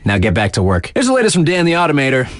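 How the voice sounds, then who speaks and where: A man's voice: on the high side, wildly swooping pitch, very fast, no room sound, studio quality. A man playing a hip, laid-back radio DJ on a snowboard mountain in a video game, introducing tracks with slangy, cocky, playful patter. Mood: upbeat, cool.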